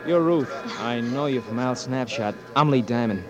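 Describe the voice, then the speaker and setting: A man's voice: mid-range voice, moderate pace, muffled recording, music in the background, lightly acted. A man playing an earnest young Marine buddy. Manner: pleading, earnest. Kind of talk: 1940s radio acting.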